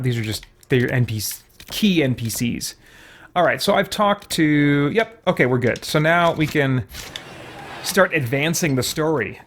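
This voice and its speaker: A man's voice: mid-range voice, slight background noise. A man hosting a let's play, commenting casually on a video game as he goes.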